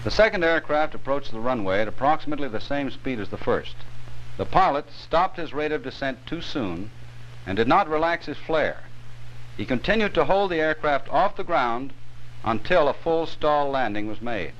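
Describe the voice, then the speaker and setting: A man with an American accent gives scripted instructional narration for a 1950s training film, in a measured, authoritative way. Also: medium pitch, expressive, fairly bright tone. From United States. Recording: dull, noisy 1950s film soundtrack.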